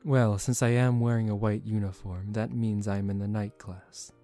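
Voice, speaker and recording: A man playing a composed young aristocratic vampire in a soft-spoken ASMR roleplay, calm, formal and gently commanding, protective yet secretive. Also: on the low side, normal speed, faint music behind, close to an everyday voice. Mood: gentle, reassuring.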